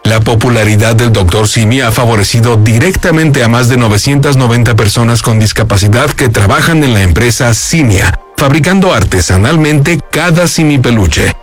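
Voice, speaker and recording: A man's voice: medium pitch, moderate pace, hardly any pauses. Mexican man narrating over music. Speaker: promotional video narrator. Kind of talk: scripted narration. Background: background music.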